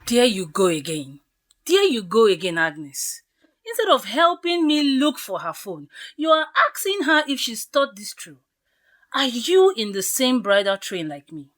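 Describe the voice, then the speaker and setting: A woman acts out a bossy young bridesmaid in a radio drama. Her pitch is high and swings widely as she scolds, emphatic, indignant and preoccupied with status. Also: very animated, unhurried, a bit bright. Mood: indignant scolding.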